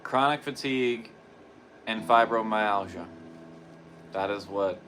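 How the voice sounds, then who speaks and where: Hoarse, tired man speaking slowly. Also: lowish voice, a little hiss or hum. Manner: soft-spoken, halting, with long pauses and repetitions. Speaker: guitarist livestreaming practice. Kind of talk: slow reflective personal talk.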